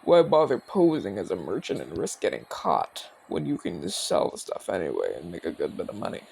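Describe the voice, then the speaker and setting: A man's voice: low-pitched, huge pitch swings, a little fast, gravelly, a little mellow, slightly rough, exaggerated voice, rough recording. A man playing a seasoned, cocky but easygoing orc commander in an audio roleplay, talking in a casual, teasing, explanatory way. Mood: confident, explaining. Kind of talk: ASMR audio roleplay acting.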